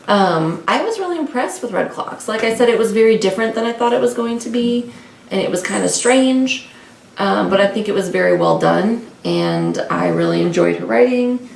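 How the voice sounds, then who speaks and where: A woman's voice: mid-range voice, animated, normal speed, creaky, darkish tone. A woman co-hosting a book vlog, chatting casually and informally in a rambling way, often correcting herself. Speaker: co-host of a book discussion vlog. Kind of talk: casual unscripted book chat. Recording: roomy, distant mic with faint noise.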